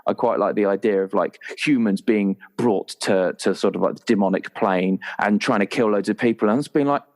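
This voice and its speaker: A man with a fairly low voice talks casually on a roleplaying podcast. He explains ideas for his game character in an informal, conversational way. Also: a little fast. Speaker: podcast guest, tabletop roleplayer.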